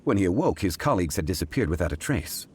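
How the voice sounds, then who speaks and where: A composed, learned gentleman from a video game, speaks in a very deep voice. His phrasing is formal and deliberate, with richly varied intonation over faint ambience. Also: very animated, slight background noise. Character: calm, erudite, dignified gentleman investigator. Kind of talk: video game character acting.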